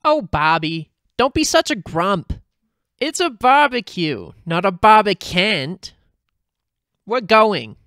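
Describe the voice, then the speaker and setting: A performer voicing an excitable, pushy cartoon wife and mother with exaggerated, drawn-out wheedling, in a fan fiction reading. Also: medium pitch, no echo, clean studio sound. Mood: coaxing.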